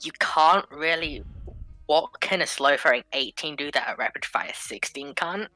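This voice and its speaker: A woman's voice: sits low, animated, moderate pace, sharp tone, no room sound. A woman arguing insistently in a casual technical debate as one of a panel. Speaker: panelist in a group discussion.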